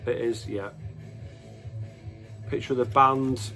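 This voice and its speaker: A man's voice: mid-range voice, steady tone, takes it slowly, pushed and bright, soft background music. A man giving casual, unscripted show-and-tell commentary as a host, in a hesitant, matter-of-fact way, often correcting himself. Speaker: host of a music collection video.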